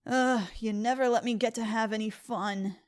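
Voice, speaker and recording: A woman's voice: fairly high pitch, steady tone, moderate pace, no room sound, studio quality, close to an everyday voice. A sullen teenage pony girl , as a woman acts her out. She sounds cynical and hard to impress, grumbling through her lines in a dramatic fan fiction reading. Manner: grumbling, unimpressed.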